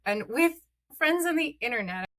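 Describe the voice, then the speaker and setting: A woman's voice: high-pitched, animated, normal speed, a bit bright. A middle-aged woman, a guest on a podcast, talking casually and chattily with plenty of ums and uhs. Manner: chatty, many "um" and "uh" fillers. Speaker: podcast guest, content creator.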